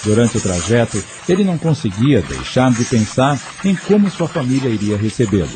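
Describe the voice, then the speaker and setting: A man's voice: mid-range voice, big pitch swings, a little fast, noisy background. A man narrating an audio novel in a scripted, dramatic storytelling style. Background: faint music.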